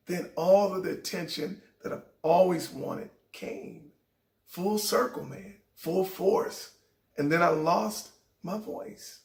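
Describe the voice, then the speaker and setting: A middle-aged man hosting a show, telling a heartfelt personal story in a raspy voice that sometimes cracks. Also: high voice, reverberant. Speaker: show host. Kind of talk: inspirational personal talk.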